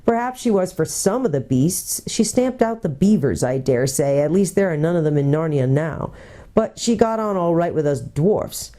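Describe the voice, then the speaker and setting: A woman's voice: deep voice, animated, normal speed, warm tone. A woman voicing a bitter, defiant male dwarf in a storybook, argumentative and sour, with a snapping, sneering manner. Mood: cold.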